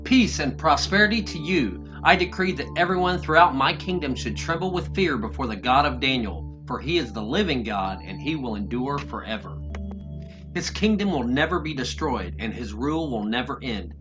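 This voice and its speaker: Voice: medium pitch, lively intonation, normal speed, natural voice. Regal ancient king acted by a reader for a Bible tale, stately delivery, dull sound with music. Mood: proclaiming. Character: solemn, regal King Darius of a Bible story. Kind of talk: dramatised Bible reading. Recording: muffled audio with music behind.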